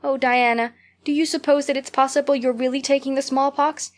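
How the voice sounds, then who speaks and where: A woman's voice: high-pitched, flat delivery, fairly quick, pitched above the performer's own voice, close to an everyday voice. A woman reading aloud, voicing an imaginative, talkative little girl who gushes breathlessly. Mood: pleading. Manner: gushing, breathless storytelling. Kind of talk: audiobook character reading.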